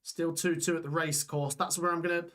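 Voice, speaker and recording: A young man hosting a live football watch-along stream, reading out scores and chatting with viewers while his voice gets hoarse. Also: on the high side, level tone, quick pace, fairly bright tone. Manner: chatty, reads scores aloud, reacts to chat. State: voice going hoarse.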